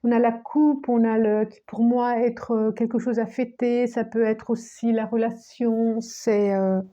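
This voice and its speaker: A woman doing a tarot reading, talking very slowly with a mellow, darkish tone. She has a cold, so her voice is a little stuffy, and her pitch sits somewhat high for a woman. She often repeats herself and trails off into near-whispers. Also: fairly even intonation, highs cut off. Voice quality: slow, searching, many restarts and repetitions. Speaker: tarot card reader.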